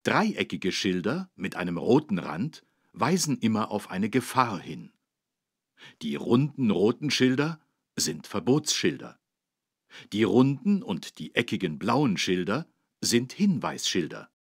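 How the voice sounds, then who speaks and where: A man's voice: fairly low pitch, very animated, measured pace, husky, no room sound, studio quality, heavily acted. A man playing a kindly policeman who patiently and emphatically explains things to children in an educational game. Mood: patient, instructive. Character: kindly, careful policeman teaching children road safety.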